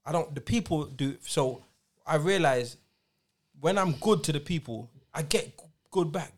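A man's voice: on the high side, animated, moderate pace. A man in his forties, co-hosting a podcast and telling stories in a slangy, emphatic way. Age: 40s. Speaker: podcast co-host, DJ. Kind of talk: casual chat.

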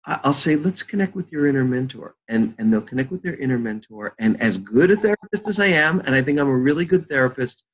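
An older man, a therapist, leading a slow guided visualization and then chatting about his practice. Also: medium pitch, animated, poor audio. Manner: slow soothing guided-meditation delivery.

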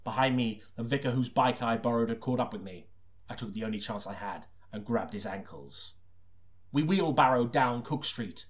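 Hard-boiled detective narrator, acted by a man: medium pitch, earnest, dramatic storytelling for a crime audiobook. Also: moderate pace, natural voice. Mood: urgent, dramatic. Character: deadpan melodramatic hard-boiled detective narrator, secretly a sinister killer.

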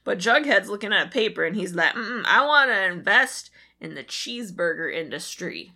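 A woman co-hosting a podcast, giving a casual, comic recap in an exasperated, animated way and swearing freely. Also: medium pitch, normal speed. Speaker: podcast co-host. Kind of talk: casual comedic episode recap.

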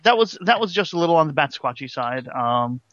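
Man, high voice, casual banter, prodding questions, muffled lo-fi audio. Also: sing-song, a little fast, a bit bright, no echo. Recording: dull lo-fi audio.

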